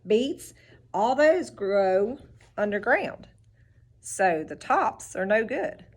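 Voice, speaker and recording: Female storybook reader for children: instructive, expressive, slow pace, lively pitch swings. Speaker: teacher reading aloud to children. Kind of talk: storybook read-aloud with asides to children.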